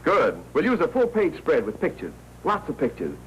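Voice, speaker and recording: An actor playing a brisk, commanding American crusading newspaperman in a 1940s crime film. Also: a little high, huge pitch swings. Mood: determined. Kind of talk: 1940s Hollywood film acting. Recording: dull, noisy old film soundtrack.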